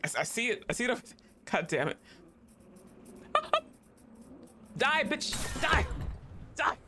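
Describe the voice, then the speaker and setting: Excitable, swearing male game streamer. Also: very high voice, faint music behind. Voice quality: excitable, profane, quick to laugh, talks to the game. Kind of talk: live gameplay commentary.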